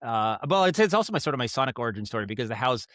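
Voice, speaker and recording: An American man speaking as a podcast guest, telling stories in a casual, rambling and animated way. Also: mid-range voice, very fast, dry recording, clean studio sound. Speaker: podcast guest, audio drama writer and producer. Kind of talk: casual interview storytelling.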